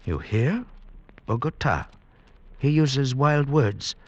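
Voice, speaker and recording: An actor playing a grave, superstitious blind male villager with a husky voice in a vintage radio drama. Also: mid-range voice, expressive, slow. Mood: grave, certain. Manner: grave, archaic phrasing. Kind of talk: 1940s-50s radio drama acting. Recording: dull vintage radio broadcast recording.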